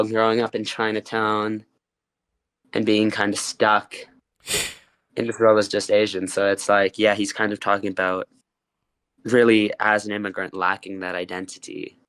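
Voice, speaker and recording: A man's voice: lowish voice, level tone, normal speed, fairly bright tone, clear, resonant tone. A young man from the United States hosting a casual podcast interview, hesitant as he searches for words. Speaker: podcast host.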